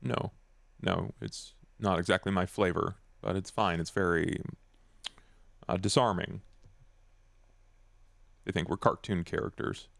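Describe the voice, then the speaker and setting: A man voicing a stoic, candid vampire henchman in a tabletop roleplay, formal, terse and deadpan. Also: deep voice, a little slow, creaky, pauses often, no echo, full character voice. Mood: understated.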